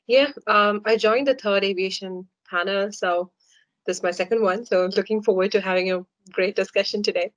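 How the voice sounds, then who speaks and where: A woman, a business student, introduces herself in a casual, quick way on an online panel. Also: medium pitch, steady tone, low-quality recording. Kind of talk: self-introduction on a video call.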